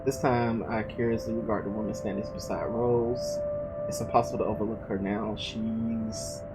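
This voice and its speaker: A man's voice: on the low side, steady tone, normal speed, loud music behind the voice. A man reading the story of a visual novel aloud, stumbling and restarting often, with a casual manner.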